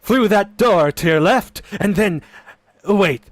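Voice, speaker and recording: A man plays a gloomy undertaker who speaks in a slow, mournful deadpan. His voice sits high and moves at an unhurried pace. The sound is dry and close, like old-time radio comedy. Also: no room sound. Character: gloomy punning male undertaker, soft-spoken. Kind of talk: vintage radio comedy acting.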